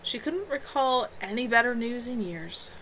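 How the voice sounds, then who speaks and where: A woman's voice: a little high, lively intonation, moderate pace, a bit bright. A woman reading a novel aloud as narration, an amateur author on a livestream from home. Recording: noisy home livestream audio.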